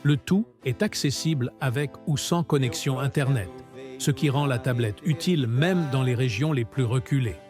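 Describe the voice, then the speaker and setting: A man reading a scripted narration with a measured, solemn delivery. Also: medium pitch. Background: music.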